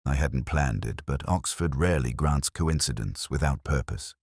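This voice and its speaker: A man reading a first-person mystery story in a measured, reflective way. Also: extremely low pitch, husky, dry recording, studio quality. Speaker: narrator.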